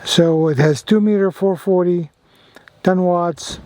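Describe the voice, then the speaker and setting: A man's voice: high voice, takes it slowly, darkish tone, no echo. A man vlogging casually about amateur radio gear, talking enthusiastically and often repeating his phrases. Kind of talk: casual product demo and radio operating.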